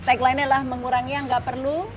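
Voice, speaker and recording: A woman's voice: high-pitched, level tone, very fast, lo-fi sound, crowd noise. A woman with a Jakarta Indonesian accent hosting a casual interview chat in a public park. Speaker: podcast host. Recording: noisy public park ambience.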